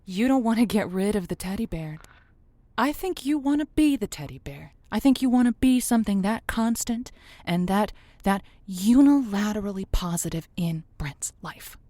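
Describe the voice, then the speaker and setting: A woman playing a blunt but reassuring call-in advice host in a semi-improvised audio drama, speaking deliberately and emphatically. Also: medium pitch, expressive, warm tone, no echo, close to an everyday voice.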